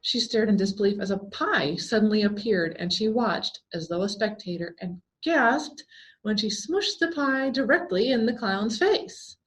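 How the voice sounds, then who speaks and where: Expressive woman reading a suspenseful story. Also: mid-range voice, normal speed, rough recording. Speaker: story reader. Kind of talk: dramatic scripted story narration.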